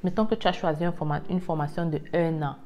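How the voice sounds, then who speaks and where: A woman's voice: low voice, fast, muffled recording. A woman in her thirties hosting an informal explainer video, talking directly and emphatically and repeating key words for stress. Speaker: video host and immigration coach. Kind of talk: informal explainer talk to camera. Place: indoor room at home. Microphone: lapel mic.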